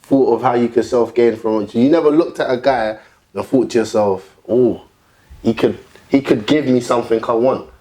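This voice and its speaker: A man's voice: normal speed, dark tone. A man in a casual podcast debate, arguing his points and pushing back with rising emphasis. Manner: argumentative, rises in pitch when pressing a point. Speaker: podcast panelist.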